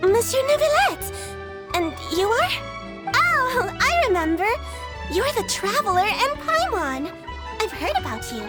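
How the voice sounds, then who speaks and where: Innocent high-voiced little creature girl. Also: sing-song, unhurried, heavily acted. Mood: friendly. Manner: naive, artless. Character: innocent, friendly childlike girl creature, naive and trusting. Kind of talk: animated video game dialogue. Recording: loud game music behind.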